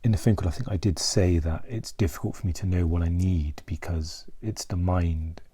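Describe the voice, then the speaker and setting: A man speaking softly and haltingly in a confessional vlog, searching for words and at times trailing toward a whisper. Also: sits low, steady tone, husky, no room sound. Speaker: mental health vlogger.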